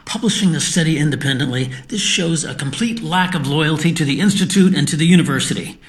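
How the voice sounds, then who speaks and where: An actor playing a stern, exasperated institute boss in an audio drama, scolding emphatically. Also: male voice, a little high, fairly quick, natural voice. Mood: angry, exasperated.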